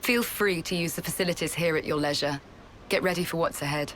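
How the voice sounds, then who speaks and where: An actor playing a calm, authoritative colony leader in a video game, speaking in a measured, formal and explanatory way. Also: male voice, fairly high pitch, slight background noise, close to an everyday voice. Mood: composed, grave. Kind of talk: scripted video game cutscene acting.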